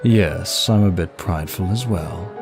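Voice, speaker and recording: A very low-voiced demon of lust acted by a man speaks slowly and smoothly over clear background music. He is courtly and flirtatious, paying compliments as if in a private audio roleplay. Every phrase is polite, measured and seductive. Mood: charming, admiring. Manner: smooth, flirtatious, formal, unhurried. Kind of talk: intimate ASMR-style roleplay, one side of a dialogue.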